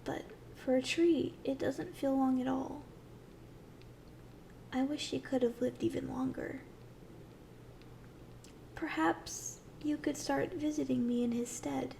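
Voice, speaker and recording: A woman voicing a gentle, ancient willow tree spirit in a soft, breathy ASMR fantasy roleplay, tender, soothing and often close to a whisper. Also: sits high, steady tone, slow, long pauses, a little hiss or hum, heavily acted. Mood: wistful, gentle.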